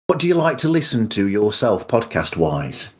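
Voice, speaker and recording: A man's voice: on the low side, big pitch swings, moderate pace, slightly rough. A man hosting a podcast, introducing segments and chatting. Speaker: podcast host.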